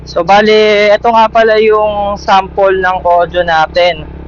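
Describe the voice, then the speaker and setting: A man's voice: sits high, flat delivery, a little slow. A man with a Filipino accent giving a casual vlog-style product demo, speaking informally into a helmet intercom mic. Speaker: motovlogger and product reviewer. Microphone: Bluetooth intercom inside motorcycle helmet. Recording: dull helmet intercom mic, loud noise.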